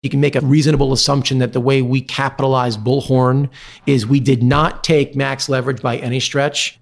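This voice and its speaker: Man explaining business in measured terms. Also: mid-range voice, creaky. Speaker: private equity executive, interview guest. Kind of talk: business interview, explanatory answers.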